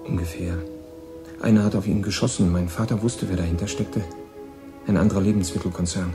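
A man's voice: deep voice, fairly even intonation, brisk, natural voice. Man playing a guarded runaway teenage boy, music behind. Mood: wistful, reflective. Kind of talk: German radio drama acting.

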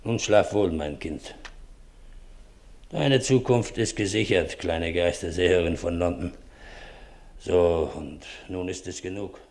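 An actor playing a domineering, shady seance master, commanding and coaxing, in a 1950s German radio play. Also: male voice, deep voice, vocal fry, darkish tone, heavily acted. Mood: brusque. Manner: commanding, slow coaxing turning brusque. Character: domineering, shady man running a child medium's seance.

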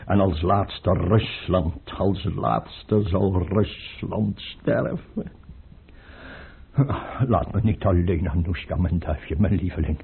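An actor playing a tormented, paranoid Russian tsar in a theatrical radio play, agitated, imperious and repeating himself. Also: male voice, sits low, slow, gravelly, husky, heavily acted. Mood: frantic, despairing. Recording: muffled, narrowband old radio play recording.

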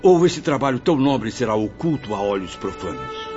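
A man's voice: fairly low pitch, sing-song, moderate pace, darkish tone. A man playing a humble, remorseful writer spirit in a radio drama, with a reverent, florid, hesitant manner. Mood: humble, moved. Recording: dull lo-fi audio, faint music.

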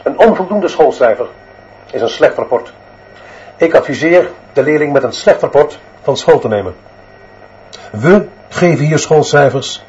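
A man's voice: medium pitch, a little mellow, lo-fi sound, natural voice. Stern, pompous headmaster played by a man. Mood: self-important, severe. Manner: stern, pompous, measured pronouncements. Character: authoritarian, unbending school headmaster obsessed with discipline. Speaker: actor in a radio drama. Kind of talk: Dutch radio play acting.

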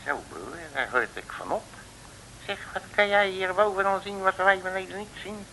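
An actor playing a bluffing, self-important detective with breezy colloquial talk in an old comic radio serial. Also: male voice, high-pitched, normal speed, sharp tone, rough recording. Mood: curious. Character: cocky, bluffing, folksy male detective. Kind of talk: 1960s Dutch comic radio serial acting. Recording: hissy old radio recording.

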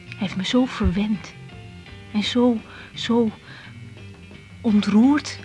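A woman's voice: mid-range voice, a little mellow, close to an everyday voice. An actress with a Netherlands Dutch accent playing an insecure, outspoken young woman doctor in a radio drama. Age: young adult. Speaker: lead actress. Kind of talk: 1980s radio drama acting.

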